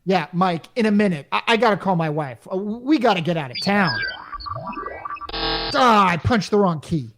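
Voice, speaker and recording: An actor playing a frantic everyman repairman and husband in a radio comedy, excitable, slangy and stammering. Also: high-pitched, moderate pace, soft background music. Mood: flustered. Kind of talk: 1950s radio comedy acting.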